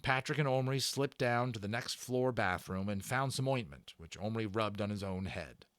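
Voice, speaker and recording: A man narrating a children's novel in a theatrical way, stressing words and pausing for drama. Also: lowish voice, animated, a bit bright, no room sound. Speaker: audiobook narrator and channel host. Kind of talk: dramatic read-aloud storytelling of a children's novel.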